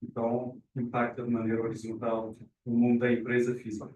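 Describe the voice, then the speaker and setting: A man's voice: medium pitch, monotone, brisk, darkish tone. Man explaining in a roomy meeting room. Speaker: committee member. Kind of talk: meeting discussion. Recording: roomy, distant mic with faint noise.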